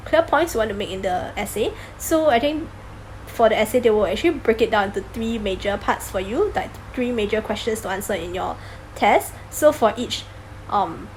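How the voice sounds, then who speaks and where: A woman's voice: on the high side, a little hiss or hum, poor audio. A woman vlogger talking casually about taking a language exam, chatty and self-deprecating, with frequent ums and little laughs. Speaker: vlogger sharing exam tips. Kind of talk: casual talking-to-camera vlog.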